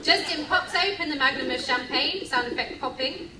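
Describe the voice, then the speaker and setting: A woman narrating a scripted radio play live, reading out sound-effect cues in a lively way. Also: sits high, normal speed. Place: cruise ship venue before a live audience. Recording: echoey distant recording, loud crowd noise.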